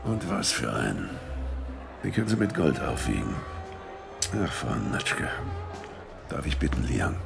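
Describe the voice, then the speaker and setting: A menacing gangland boss in a radio drama, with a very deep, creaky voice. His delivery is highly animated in pitch, suave and condescendingly polite, over music. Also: unhurried, long pauses, heavily acted. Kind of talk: German radio drama acting. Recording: music behind the voice.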